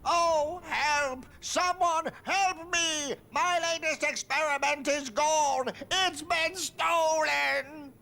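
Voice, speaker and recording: A man playing a frantic old mad scientist in a cartoon game, with a thin, creaky voice. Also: extremely high pitch, very slow, sharp tone, heavily acted. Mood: distraught. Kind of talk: video game cartoon voice acting.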